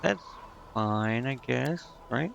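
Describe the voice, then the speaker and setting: Man, game streamer, creaky voice, casual indecisive muttering, dull lo-fi mic. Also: medium pitch, expressive, slow, fairly bright tone, a little hiss or hum. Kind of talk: casual live gameplay commentary, thinking aloud.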